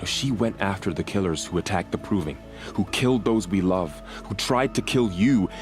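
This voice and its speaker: A grieving, dutiful young warrior from a video game. His voice is deep, with a solemn, earnest delivery. Soft music sits underneath. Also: animated, moderate pace. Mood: earnest, urgent.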